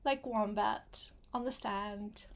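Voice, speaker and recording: An older woman, a grandmother, reads a picture book aloud to a young child, gently adding simple asides and questions. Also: medium pitch, fairly even intonation, takes it slowly, a little hiss or hum. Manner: gentle, simple child-directed talk with questions and short phrases.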